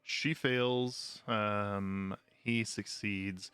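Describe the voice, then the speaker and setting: A man's voice: lowish voice, fairly even intonation, drawn-out words, pushed and bright, clear, resonant tone, no echo, soft background music. A man talking casually as a player in a tabletop game. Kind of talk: casual game play talk.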